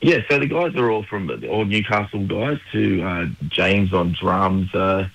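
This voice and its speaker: A man with an Australian accent, a musician guest on a radio show, talking in a relaxed, laid-back way with lots of fillers. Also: fairly low pitch, a little slow, dull audio. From New South Wales, Australia. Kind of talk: casual radio interview.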